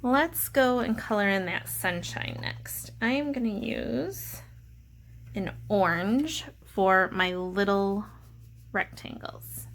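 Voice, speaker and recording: Female art teacher for kids, mid-range voice with noticeable vocal fry, explaining each step simply, slight noise behind. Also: mid-range voice, a bit bright. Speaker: teacher leading a drawing lesson. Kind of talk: step-by-step instructional narration for children.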